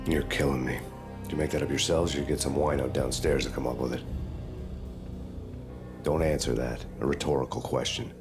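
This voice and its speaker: An actor playing a weary, hardboiled cop who narrates a noir game in a flat, cynical deadpan. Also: male voice, a bass voice, brisk, long pauses. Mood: sarcastic. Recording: game soundtrack music behind.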